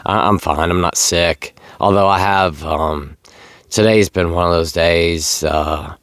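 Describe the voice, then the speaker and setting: A middle-aged man who is tired and losing his voice, talking casually and haltingly to camera in a vlog. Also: sits low, fairly bright tone, clear, resonant tone, no echo, clean studio sound. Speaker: sobriety vlogger. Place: outdoors.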